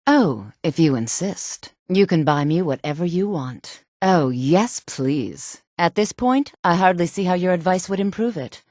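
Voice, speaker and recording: Fantasy game courtier woman, sultry, sardonic, haughty, lowish pitch, very animated intonation, sharp-tongued. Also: a little slow, few pauses, no room sound, clean studio sound. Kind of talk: video game character dialogue.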